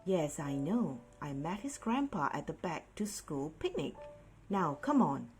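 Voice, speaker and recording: A woman voicing a calm, wry mother in a storybook, measured and gently firm. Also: deep voice, faint music behind. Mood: matter-of-fact.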